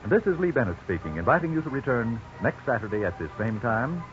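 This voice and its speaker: A man's voice: mid-range voice, very animated, quick pace, faint music behind. Muffled vintage male radio announcer. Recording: muffled, hissy 1950s radio recording.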